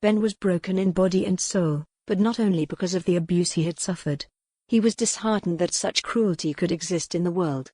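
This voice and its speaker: A woman's voice: on the low side, brisk, dark tone, no echo. A woman reading a historical romance novel as a scripted audiobook narration.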